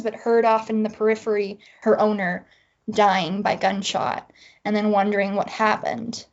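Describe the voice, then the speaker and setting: A woman with a mid-pitched voice talks conversationally as an interview guest. She is a writer explaining her work, heard on a slightly dull, lo-fi recording. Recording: dull, band-limited audio.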